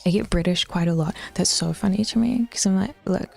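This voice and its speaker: Chatty Auckland woman with a mellow voice. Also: mid-range voice, a little fast, creaky, faint music behind. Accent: Auckland New Zealand. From New Zealand. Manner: rambling, swears casually, drops into whispers. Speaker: streamer. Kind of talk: casual chat with live chat.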